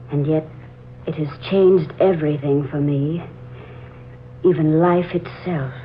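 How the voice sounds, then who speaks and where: An actress playing an earnest young woman with a dramatic manner in a 1940s radio drama. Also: deep voice. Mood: solemn, haunted. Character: Laura Cabot, earnest young woman telling an uncanny tale. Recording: muffled, noisy 1940s radio recording.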